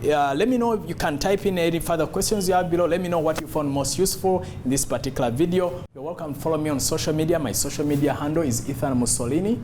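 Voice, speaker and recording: A man giving an instructional talk as a public speaking coach, emphatic and deliberate, repeating key words. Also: on the high side, a little mellow, slight background noise. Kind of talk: instructional talk to camera on voice modulation.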